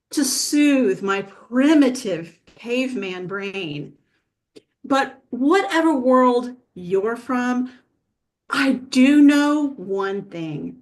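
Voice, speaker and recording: A woman playing a thawed caveman lawyer in a comedy table read, solemnly addressing a jury with naive, mock-humble wonder. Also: sits high. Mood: solemn, confident.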